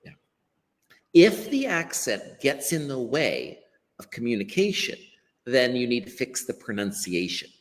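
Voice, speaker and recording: A man's voice: medium pitch, huge pitch swings, unhurried. A middle-aged man with a Western American accent, a language teacher speaking earnestly as a guest over a video call, often restarting his sentences. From western United States. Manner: earnest, emphatic with frequent restarts. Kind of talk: live-stream interview. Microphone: webcam on a video call. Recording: long hall-like reverb.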